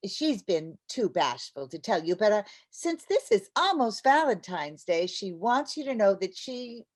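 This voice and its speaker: A meddling radio-comedy housewife acted by a woman, wry and emphatic. Her pitch moves up and down a great deal while she speaks at an unhurried pace, practical and quick to get exasperated. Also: big pitch swings. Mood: polite, coaxing. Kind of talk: old-time radio comedy acting.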